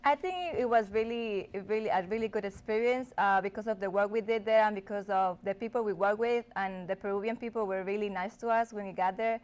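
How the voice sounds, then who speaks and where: A woman's voice: mid-range voice, fairly even intonation, fairly quick, few pauses, a little mellow, highs cut off. A young woman from Ecuador, a native Spanish speaker, chatting as a studio guest and filling her talk with "like". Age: young adult. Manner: chatty, many "like" fillers. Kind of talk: casual studio interview answers.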